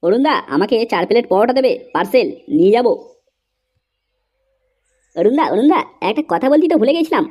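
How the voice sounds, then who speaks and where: A woman's voice: a little high, animated, fairly quick, pauses often, warm tone. A woman voicing an inquisitive, polite young customer in a comedy cartoon. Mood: curious.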